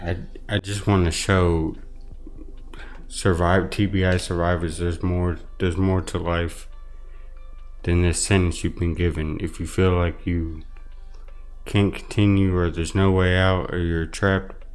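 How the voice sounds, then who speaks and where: Man, very low voice, earnest, halting delivery, personal testimony, faint music behind. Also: little pitch movement. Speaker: interview guest, brain injury survivor.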